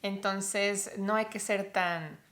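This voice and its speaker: A Colombian woman with a Colombian accent who runs a Spanish-teaching vlog, explaining clearly and step by step to the camera. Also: mid-range voice, steady tone, slow, a bit bright.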